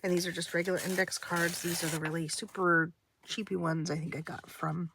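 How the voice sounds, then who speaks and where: A woman's voice: fairly low pitch, level tone, brisk, noisy background. A woman with a slightly stuffy, nasal voice chatting casually and thinking aloud as she hosts a craft session at her desk, meandering and interrupting herself. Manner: hesitant, meandering, self-interrupting. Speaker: craft video host.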